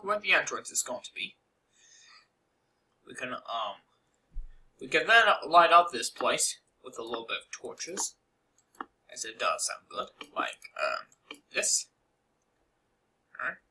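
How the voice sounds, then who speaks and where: A man's voice: fairly high pitch, big pitch swings, slow pace, long pauses, pushed and bright, rough recording. A young man casually narrating a game-building tutorial over a screen recording, rambling with many fillers and asides.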